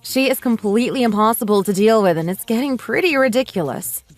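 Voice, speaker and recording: A woman's voice: a little high, lively intonation, fairly quick, no echo, soft background music. A woman narrating a scripted first-person story.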